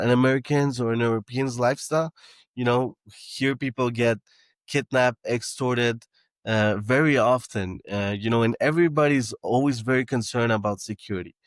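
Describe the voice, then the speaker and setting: A man's voice: medium pitch, dry recording. A man who grew up in Canada, a startup founder talking as a podcast guest, with many restarts and fillers. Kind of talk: casual interview talk.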